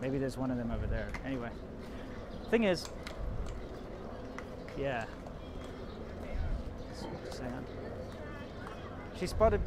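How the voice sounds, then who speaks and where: A man's voice: mid-range voice, big pitch swings, takes it slowly, pauses often. A man from England narrating a casual vlog and chatting amiably with strangers. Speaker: vlogger. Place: busy city street outdoors. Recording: loud street noise.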